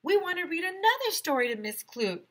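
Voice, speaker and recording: Woman doing high, squealing, excitable schoolkids. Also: full character voice. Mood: pleading. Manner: exclamatory, begging in drawn-out repeats. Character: excited schoolchildren, boys and girls, pleading and cheering. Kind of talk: children's storybook read-aloud.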